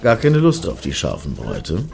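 An actor playing a crude, cynical ex-boyfriend in a radio satire, with a sardonic, grumbling delivery. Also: male voice, extremely low pitch, wildly swooping pitch, moderate pace, creaky, darkish tone, exaggerated voice. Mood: cheeky. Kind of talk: German radio play dialogue.

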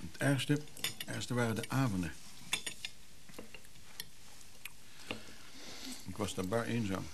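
An actor playing a glib middle-aged brother in a radio play, breezily brushing off whatever is put to him. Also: male voice, medium pitch, normal speed, creaky, noisy background, close to an everyday voice. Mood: subdued. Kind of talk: naturalistic Dutch radio play acting.

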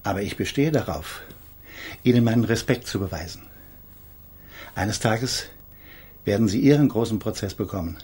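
A middle-aged man playing an eminent defence lawyer in a radio crime drama, husky and formal, with measured, patronising courtesy. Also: lowish voice, darkish tone. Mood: courteous, condescending.

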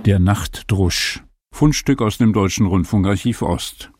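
Deep-voiced male documentary narrator. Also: a little slow, a little mellow.

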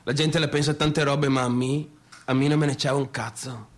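An actor playing a brash, unsettled only son with a Venetian accent, talking colloquially and repetitively straight to the audience in a radio drama. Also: male voice, mid-range voice, steady tone, normal speed, lightly acted. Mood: defensive, agitated. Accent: Venetian, northeastern Italian. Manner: colloquial, repetitive, swinging between clowning and defensiveness. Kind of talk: theatrical radio-drama monologue addressed to the audience.

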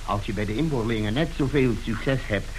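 A man's voice: on the low side, fairly quick, natural voice. An actor playing a domineering, shrewd industrialist father, curt and commanding, in a radio play. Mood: businesslike, commanding. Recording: hissy old radio recording.

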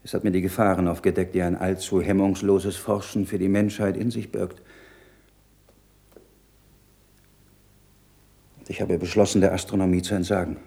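An actor playing an earnest, troubled learned monk in a radio play, speaking in a measured, solemn, rhetorical way. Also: male voice, low voice, little pitch movement, vocal fry, mellow, husky, exaggerated voice. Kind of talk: German radio play acting.

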